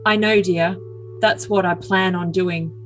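A woman's voice: on the low side, steady tone, measured pace, lightly acted. A woman voicing an earnest, besotted young man with a solemn, stilted manner in an overly dramatic comic message reading. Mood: besotted, suggestive. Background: music.

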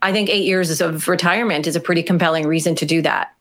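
A woman author being interviewed, talking in an animated, emphatic way with small fillers. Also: low-pitched, quick pace. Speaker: author and guest. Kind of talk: conversational interview answers.

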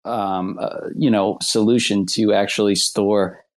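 A man's voice: fairly low pitch, steady tone, unhurried. A man from the United States, a product lead speaking on a panel in an earnest, easygoing way. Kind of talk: casual panel chat.

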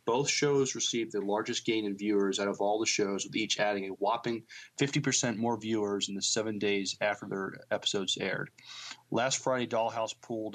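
A man with a fairly low voice co-hosts a podcast. He talks through news items in an informal way, somewhat halting, catching on the starts of words. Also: fairly bright tone, poor audio. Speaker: podcast co-host. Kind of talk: casual chat and news reading.

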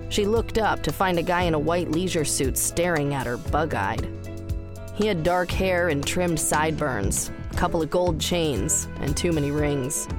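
Low-pitched woman narrating fiction. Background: music.

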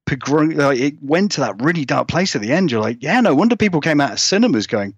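A man's voice: fairly high pitch, sing-song, quick pace, husky, dry recording, highs cut off. A British man chatting casually as an interview guest, rambling and acting out remarks as he goes. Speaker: guest horror writer.

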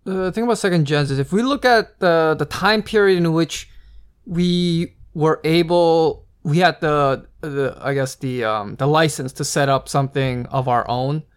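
Man, casual talk, rambling delivery, somewhat high pitch, full of restarts. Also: a little slow, smooth. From United States. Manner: rambling, many fillers and restarts. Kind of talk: casual discussion.

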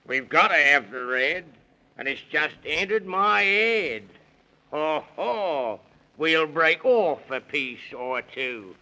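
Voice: medium pitch, lively intonation, takes it slowly, pushed and bright, exaggerated voice, poor audio. A man playing a jolly, resourceful tusked animal in a 1930s children's radio serial, hearty and slangy in rhyme. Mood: scheming, upbeat.

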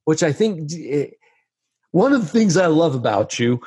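A man's voice: on the high side, very animated, moderate pace, a little mellow, husky, no echo. Dry-voiced male interviewer. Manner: conversational, leads with long framing questions. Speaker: interviewer, host. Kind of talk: interview questions.

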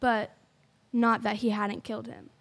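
High-pitched female story narrator. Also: level tone, measured pace, creaky, fairly bright tone. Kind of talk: scripted story narration of youth radio play.

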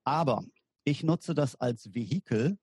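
A man's voice: mid-range voice, animated, a little slow, darkish tone, husky, no echo, studio quality. A man from Germany, a guest on a podcast interview, explaining his field at length in a hesitant way with many fillers. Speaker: guest, war gaming lecturer.

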